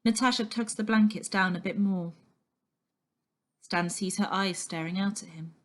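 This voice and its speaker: A woman giving an expressive, dramatic reading of a children's novel as storyteller. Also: medium pitch, moderate pace.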